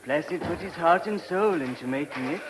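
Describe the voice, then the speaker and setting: An actor in a radio play voicing an earnest, sorrowful monk. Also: male voice, on the high side, expressive, normal speed, lightly acted. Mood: mournful. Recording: noisy old radio recording.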